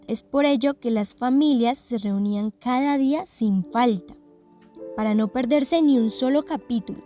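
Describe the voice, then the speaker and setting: A woman's voice: a little high, warm tone. A woman reading a scripted documentary narration.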